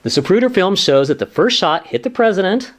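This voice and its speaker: A man's voice: high-pitched, animated, fairly quick. A man playing an earnest, defensive commission staff lawyer in a sitcom-style radio play, insistent and matter-of-fact.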